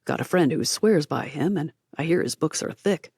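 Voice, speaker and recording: A husky, gravelly young man, put on by a woman narrator, who keeps his answers short and dry. He sounds guarded and evasive, with his words dropped into a close, dead-dry audiobook recording. The voice sits a little lower than the narrator's own. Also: expressive, moderate pace, darkish tone, no room sound, full character voice. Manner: dry, clipped, deflecting small talk. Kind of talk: audiobook character voice.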